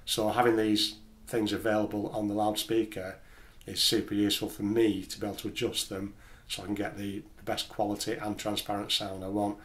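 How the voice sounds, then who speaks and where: A man's voice: on the low side, flat delivery, brisk, a bit bright. A man casually explaining gear to camera as host, in a plain-spoken, careful way. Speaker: host of a home studio gear video channel.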